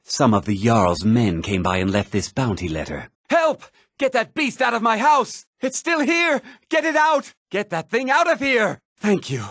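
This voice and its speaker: A man playing a self-important, proud and scheming townsman in a fantasy video game, speaking in a theatrical, formal and deliberate way with a husky voice. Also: high-pitched, big pitch swings, a bit bright, dry recording, clean studio sound, exaggerated voice. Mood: relieved, then panicked, then grateful, earnest. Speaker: video game character voice actor.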